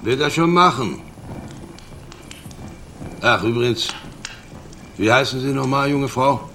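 Gruff, suspicious country patriarch. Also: male voice, mid-range voice, lively intonation, slow pace, sharp tone, slight background noise, heavily acted. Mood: gruffly hospitable. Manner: blunt, questioning, bossy. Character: gruff, suspicious rural family patriarch with lamp. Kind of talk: radio drama acting in German.

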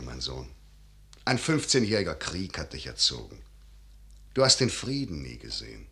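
An actor playing a grave, worldly older father and military man in a classical German radio drama, declaiming verse with measured weight. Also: fairly low pitch, pushed and bright, exaggerated voice.

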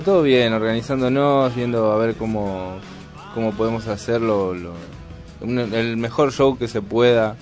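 A man's voice: fairly low pitch, darkish tone. A man, a band member guest, answering with many hesitant fillers and self-mocking humor. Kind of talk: casual interview.

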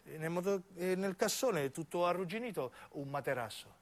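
A man with a high voice and a southern Italian accent tells a story in the first person. His delivery is theatrical and dramatic, in the manner of a solo radio-drama actor. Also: animated, normal speed. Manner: dramatic, acts out dialogue.

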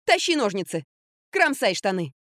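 A woman's voice: medium pitch, sing-song, normal speed, a bit bright, no echo, clean studio sound. A woman voicing a bossy, spiteful young actress in an audiobook, snapping and whining. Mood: shrill, angry.

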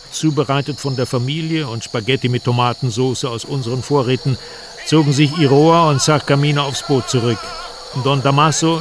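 A man's voice: medium pitch, background noise. A man reading scripted first-person travel narration for a radio drama.

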